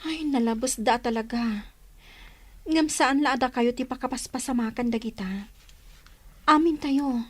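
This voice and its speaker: A woman's voice: high-pitched, moderate pace, pauses often. A woman playing a curious, sympathetic young village confidante with an Ilocano accent, reacting readily in a radio drama. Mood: curious, concerned. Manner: inquisitive, reactive.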